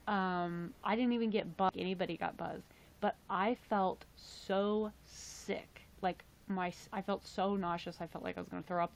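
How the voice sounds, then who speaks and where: A young woman live streaming, chatting casually in a raspy, tired voice, rambling and swearing now and then. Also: mid-range voice.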